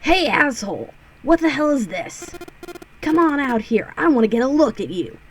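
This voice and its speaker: Woman doing a brash shouting young man. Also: sits high, sing-song, normal speed, a little hiss or hum, voice pushed up, low-quality recording. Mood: angry.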